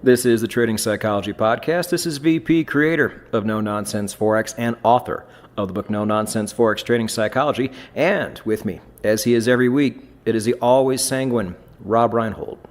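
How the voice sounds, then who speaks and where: A man's voice: medium pitch, moderate pace. A man hosting a trading podcast, talking in a blunt, emphatic way with casual swearing. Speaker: podcast host.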